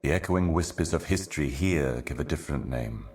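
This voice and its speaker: An actor playing an ominous, ancient otherworldly herald in a video game, speaking in solemn, cryptic, deliberate monologue. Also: male voice, a bass voice, lively intonation. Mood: ominous, brooding. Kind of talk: scripted video game voice acting, slow monologue.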